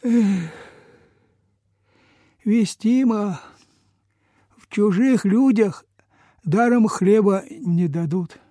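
An actor playing a frail, humble old peasant beggar in a Soviet radio play, halting, deferential and folksy. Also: male voice, sits high, mellow, dry recording, clean studio sound, heavily acted. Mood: weak, pleading. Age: older.

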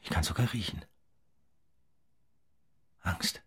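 An actor playing a restless, philosophical young man in an audio drama, with a breathy, husky voice and intimate delivery. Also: slow pace, fairly bright tone. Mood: anxious, subdued.

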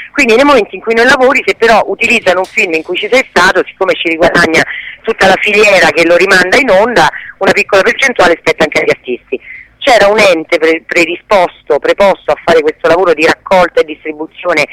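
A woman's voice: fairly low pitch, fairly bright tone, low-quality recording. A woman speaking as a radio interview guest, explaining conversationally with hesitations and self-corrections. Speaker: interview guest, actress and association president.

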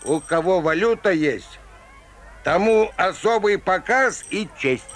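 A man playing a theatrical fairground peepshow barker, telling rhymed folk tales in a playful, drawn-out sing-song declamation. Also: high voice, lively intonation, a bit bright, heavily acted. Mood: showy, playful. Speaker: narrator, fairground showman. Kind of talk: rhymed Russian folk-tale showman patter.

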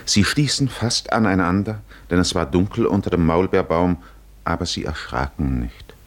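A man narrating a literary 1950s radio drama in a solemn, measured manner with deliberate pauses. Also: deep voice.

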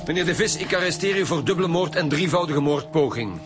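A man's voice: fairly high pitch, lively intonation, fast, loud music behind the voice, natural voice. A man with a Flemish accent playing a stern, scornful homicide detective in a radio drama, blunt and sarcastic. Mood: angry, accusing.

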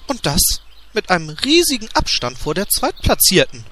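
A man puts on a boastful young dwarf princess for a fantasy parody. The voice is high, sharp and affected, full of big swings in pitch. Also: huge pitch swings, moderate pace, a little hiss or hum, heavily acted. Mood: proud, dreamy. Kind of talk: German audio drama parody.

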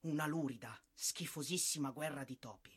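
Young fallen soldier, husky, bitter, disillusioned, theatrical radio drama. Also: male voice, mid-range voice, normal speed. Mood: bitter, anguished.

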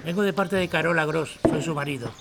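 A man's voice: a little high, a little fast, creaky, heavy background noise. A man playing a courteous, businesslike workshop owner, speaking formally in a radio drama. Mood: formal, assured. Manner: formal, polite.

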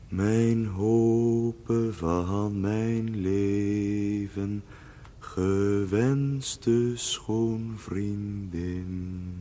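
An actor playing a lonely lovesick young poet in a radio play, speaking rhymed verse in a measured, mournful way. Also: male voice, fairly low pitch, warm tone, slight background noise. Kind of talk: 1970s radio drama verse recitation.

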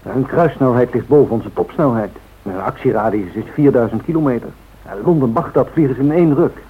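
An actor playing a modest, unruffled airline pilot in a radio drama, deferential and understated. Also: male voice, medium pitch, fairly quick, a little hiss or hum, close to an everyday voice. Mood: modest, matter-of-fact. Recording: thin, phone-like old radio recording.

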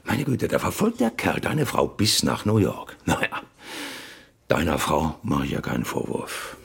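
Pushy spiteful acquaintance, gravelly, swinging wildly in pitch, insinuating, crime radio play. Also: male voice, wildly swooping pitch, a little slow, heavily acted. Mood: spiteful, goading. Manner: insistent, goading, swinging between mutter and outburst. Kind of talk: German radio crime drama acting.

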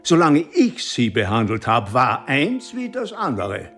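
A man's voice: medium pitch, huge pitch swings, a little slow, fairly bright tone, faint music behind, heavily acted. A man acting a stiff, self-important physician in a German radio drama, formal and pointedly critical. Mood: accusing.

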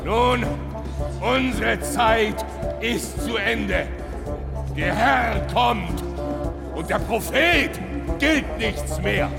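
Ein Schauspieler spielt in einem Hörspiel einen fanatischen Endzeitpropheten, der langsam und feierlich deklamierend zur Buße aufruft. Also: male voice, high-pitched, animated, gravelly, exaggerated voice. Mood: fervent, solemn. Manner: slow, declamatory preaching. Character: fanatical apocalyptic prophet preaching repentance to a crowd. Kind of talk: radio drama acting. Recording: long reverberant hall with loud music.

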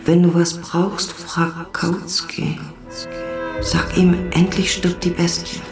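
A man's voice: on the high side, completely flat delivery, slow. An actor playing an earnest revolutionary, voicing an intimate, subdued letter to his wife in a German radio play. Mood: solemn, tender. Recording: echoey studio with music behind.

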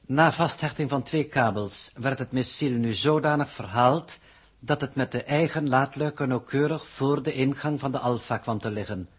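An actor playing a pedantic, formal alien coordinator who reports in stilted, ponderous phrases in a 1960s radio drama. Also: male voice, mid-range voice, moderate pace, breathy edge, natural voice. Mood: dry, pedantic.

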